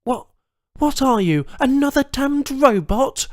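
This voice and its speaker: A man's voice: very high-pitched, expressive, takes it slowly, no echo, clean studio sound, pitched above the performer's own voice, full character voice. A man voicing a blunt, sardonic captive woman journalist in an audiobook. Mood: contemptuous. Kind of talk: audiobook character dialogue.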